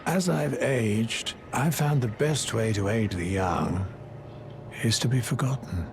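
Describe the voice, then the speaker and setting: An actor playing an old, gentle, wistful sorcerer in a fantasy game, speaking in formal archaic phrases. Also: male voice, medium pitch, takes it slowly, background noise. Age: older. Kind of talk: dramatic fantasy game acting.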